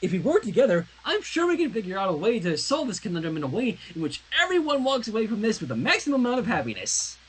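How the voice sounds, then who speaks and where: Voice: mid-range voice, sing-song, quick pace, few pauses, breathy edge, pitched above the performer's own voice, rough recording. A reader voicing an anxious, brainy, lovestruck teenage girl with an earnest, emotional and wordy way of talking in a story reading. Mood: hopeful.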